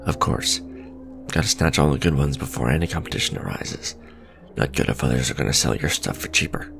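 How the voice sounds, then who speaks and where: A man playing a smug, suave villain businessman in a romantic ASMR audio drama, sardonic and conversational, teasing, with a low gravelly voice. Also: expressive, fairly quick, over music, full character voice. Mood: smug, matter-of-fact. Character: smug male villain, suave luxury fashion businessman on a date.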